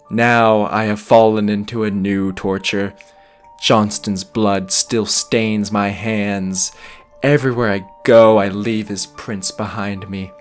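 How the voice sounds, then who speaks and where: A man's voice: on the low side, close to an everyday voice. A man playing a deranged, grandiose murderer in a theatrical gothic monologue, speaking in pompous, melodramatic, archaic phrases. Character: deranged, grandiose sandwich-shop murderer confessing his crime. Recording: faint music behind the voice.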